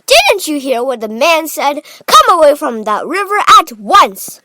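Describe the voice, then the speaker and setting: A woman voicing a bossy, panicky mother with a shrill manner in a children's storybook. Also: very animated, unhurried, pushed and bright, no room sound, exaggerated voice. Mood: scolding.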